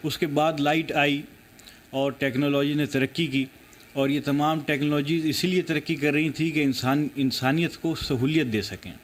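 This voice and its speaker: Male interviewee, slightly high voice, explanatory statement, faint background noise. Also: fairly even intonation, normal speed. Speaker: interviewee giving his opinion. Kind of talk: spoken statement to news camera.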